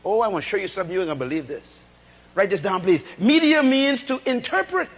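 Man preaching in a really high voice, pitch jumping all over, repeats himself and asks the room to talk back. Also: expressive, fast talker, dull audio. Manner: call-and-response, rhetorical questions, emphatic repetition; swings between shouted emphasis and low asides. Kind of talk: sermon.

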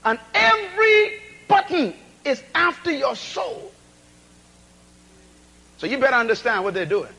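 A man preaching a sermon, calling on the congregation for responses and driving points home through repetition and rhetorical questions, often dropping to low asides between loud bursts. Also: animated, unhurried, gravelly, long pauses. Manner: swings between shouted emphasis and low asides.

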